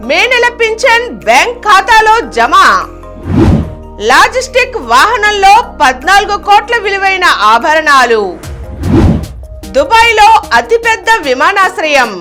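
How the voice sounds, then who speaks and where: A woman's voice: very high-pitched, big pitch swings, unhurried, pauses often, bright, forceful tone, soft background music. A woman reading scripted news as a bulletin presenter. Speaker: news reader.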